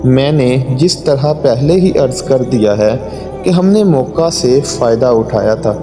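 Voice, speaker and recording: A man's voice: medium pitch, lively intonation, lightly acted. A man voicing a conquering governor in a moral story, formally defending himself before a court. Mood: defensive. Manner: formal, self-justifying. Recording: long hall reverb, music underneath.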